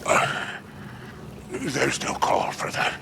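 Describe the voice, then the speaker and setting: An actor playing a greedy, scheming alien crime boss in a video game, with a gravelly voice and a smug, florid, wheedling drawl. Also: male voice, very slow, noisy background. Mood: sullen. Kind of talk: video game cutscene voice acting.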